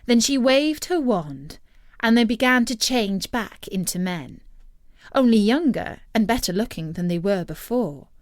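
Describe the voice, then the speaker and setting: A woman telling a story as scripted narration, in an expressive and deliberate manner. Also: a little high, no room sound, clean studio sound.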